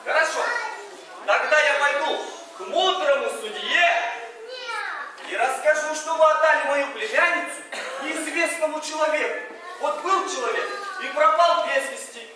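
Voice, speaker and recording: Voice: high-pitched, measured pace, a crowd in the background. An actor playing a sly, cheeky young male trickster on stage, teasing in a mock-sweet way. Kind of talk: stage theatre acting. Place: large theatre stage. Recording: very echoey hall, far from the mic, audience.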